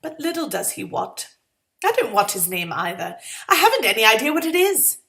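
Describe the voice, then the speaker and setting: Exasperated twenties-era young woman played by a female reader, high and bright, sarcastic, dramatic inner monologue. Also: very animated, moderate pace, creaky, exaggerated voice. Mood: bitter, self-pitying. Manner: sarcastic, melodramatic. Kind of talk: dramatic first-person short story reading.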